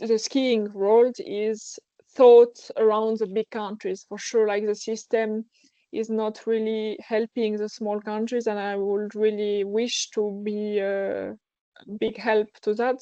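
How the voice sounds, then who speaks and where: A woman's voice: medium pitch, little pitch movement, slow, dark tone, dry recording, muffled recording. A young woman with a French accent, a guest in a casual interview, speaking hesitantly and searching for words in her second language. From France. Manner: hesitant, searching for words, many false starts. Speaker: guest athlete.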